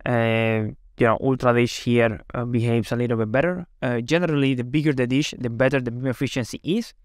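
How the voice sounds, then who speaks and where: A man's voice: medium pitch, steady tone, a little fast, dry recording, clean studio sound. A man giving a webinar product presentation in an explanatory way, often checking in with "right?". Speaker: company presenter.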